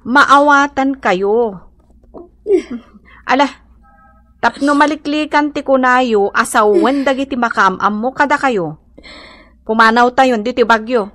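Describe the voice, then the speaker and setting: A woman's voice: on the high side, slow pace, long pauses, fairly bright tone. A woman with an Ilocano accent playing a bossy, exasperated grown daughter in a radio drama, speaking emphatically. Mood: exasperated pleading.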